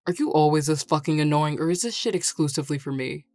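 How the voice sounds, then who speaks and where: Woman acting as grumpy young-sounding guy, low pitch, curt, prickly, defensive, audio roleplay, soft music behind. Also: fast talker, no echo, clean studio sound, close to an everyday voice. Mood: irritated. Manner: curt, irritated, sweary. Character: grumpy, defensive tsundere male bar worker.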